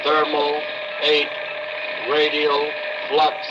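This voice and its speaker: A cold robot from an old radio play, commands in a high, flat voice. It grinds out clipped words one at a time, relentless and mechanical, through a muffled recording thick with noise. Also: male voice. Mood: mechanical, commanding. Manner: halting, mechanical, clipped delivery of single words. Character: cold, relentless thinking robot, an iron monster. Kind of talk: 1960s radio drama acting.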